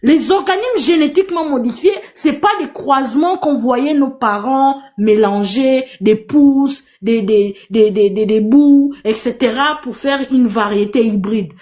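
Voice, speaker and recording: A high-voiced woman whose first language is Portuguese speaks on a live online panel, pressing her points with emphatic repetition. Her voice comes through dull, lo-fi stream audio. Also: unhurried, hardly any pauses, darkish tone. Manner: emphatic, repetitive, exhorting the audience. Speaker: live stream moderator and activist. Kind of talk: impassioned live panel talk.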